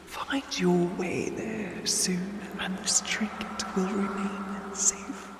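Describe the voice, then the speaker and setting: A woman playing a menacing undead emperor in an audio drama, with a breathy whisper and a slow, commanding manner. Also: medium pitch, fairly even intonation, noisy background, close to an everyday voice. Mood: sinister command. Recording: distant whisper in echoing hall.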